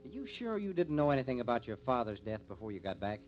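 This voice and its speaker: An actor playing a brisk, inquisitive and level-headed newspaper reporter, in 1950s radio drama style. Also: male voice, medium pitch, very animated, close to an everyday voice. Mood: brisk, probing. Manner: brisk, probing. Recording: muffled, noisy old radio recording.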